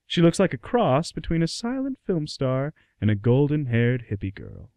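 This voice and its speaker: A man narrates a radio play from a script. His medium-pitched voice moves around a great deal, and the sound is close and dry. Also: huge pitch swings, moderate pace, a little mellow, dry recording.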